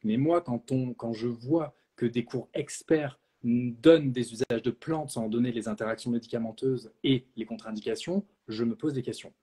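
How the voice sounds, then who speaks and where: A man's voice: mid-range voice, moderate pace, hardly any pauses, mellow, breathy edge. A man speaking as a naturopath and trainer in a live question-and-answer talk, outspoken and blunt, with frequent hesitations. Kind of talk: live Q&A discussion.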